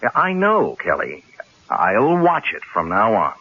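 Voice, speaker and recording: An actor playing a flippant, wisecracking newspaper columnist with a husky voice and casual manner in a radio drama. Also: male voice, on the low side, huge pitch swings, unhurried, exaggerated voice. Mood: evasive, breezy. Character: breezy, wisecracking, evasive male newspaper columnist. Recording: dull old radio broadcast recording.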